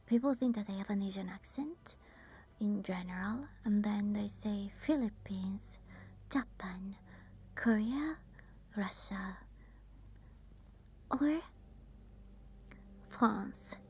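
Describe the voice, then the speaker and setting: A woman with an Italian accent, softly whispering while chatting with viewers on an ASMR live stream. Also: mid-range voice, slow, long pauses, slight background noise. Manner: soft whispering.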